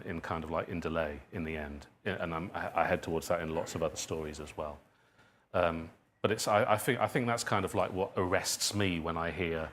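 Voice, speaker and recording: A man speaking conversationally at a live talk, answering questions in a halting way, circling back over his phrases. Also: low voice, fairly bright tone, a little hiss or hum. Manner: halting, circling and repeating phrases, chuckles at own remarks. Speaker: speaker, writer of audio drama. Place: indoor public event venue.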